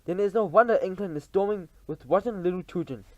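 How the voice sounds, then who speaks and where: A man's voice: on the high side, big pitch swings, normal speed, darkish tone, no room sound, muffled recording, voice pushed up. A man reading aloud and voicing a tiny, tyrannical female witch leader who shrieks orders in stilted, odd grammar. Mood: furious.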